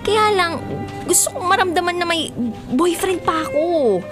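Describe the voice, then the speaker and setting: A woman's voice: very high-pitched, lively intonation, measured pace. A woman playing an earnest, hurt young girlfriend in a Filipino radio drama. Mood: hurt, pleading. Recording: music bed under the voice.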